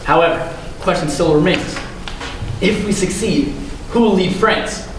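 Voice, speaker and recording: A young man playing a measured, well-informed former army officer in a student stage play. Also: high voice, lightly acted. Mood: measured, informative. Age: young adult. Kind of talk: high school stage play acting. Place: school theatre stage, distant microphone. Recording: very echoey stage, distant, clear noise.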